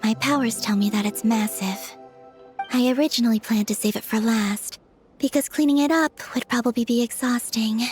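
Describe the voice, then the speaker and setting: Woman voicing calm perceptive young game heroine, highish soft voice, measured n thoughtful. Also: faint music behind, lightly acted. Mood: calm, resolved. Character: calm, perceptive young woman leading an investigation, gently kind. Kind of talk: video game voice acting.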